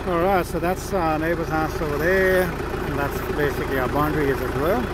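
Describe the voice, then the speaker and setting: A man's voice: on the high side, fairly quick. A man chatting informally as he narrates a casual vlog outdoors on a farm. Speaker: farm vlogger. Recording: loud engine and outdoor ambient noise.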